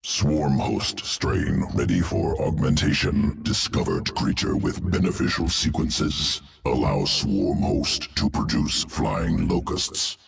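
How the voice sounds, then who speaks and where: An inhuman alien creature from a game, cold and analytical. The voice is abysmally deep and croaking, doling out terse fragments slowly. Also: male voice, animated, full character voice. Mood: cold, clinical. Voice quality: guttural, gravelly. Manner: terse clipped phrases without articles, emotionless. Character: cold, clinical alien evolution creature obsessed with essence. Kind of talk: video game mission briefing.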